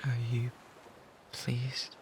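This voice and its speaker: A man's voice: mid-range voice, monotone, very slow. A British man playing an obsessive, lovesick pirate in a romantic ASMR roleplay, pleading fervently and breathily, close to a binaural microphone. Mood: shy, devoted. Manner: fervent, breathy pleading that often drops toward whispers. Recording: noticeable background noise and ambience.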